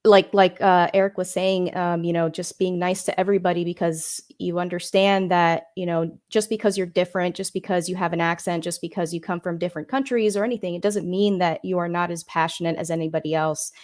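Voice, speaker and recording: A woman from Puerto Rico with an American accent, speaking softly and calmly as a panel guest, with many hesitant fillers. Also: lowish voice, brisk, hardly any pauses.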